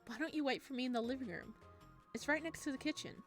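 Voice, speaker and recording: A woman voicing a friendly, courteous man in a visual novel, an eager host with a gently teasing way. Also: high-pitched, quick pace, soft background music, natural voice. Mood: warm, attentive. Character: friendly, teasing man hosting a guest in his cabin.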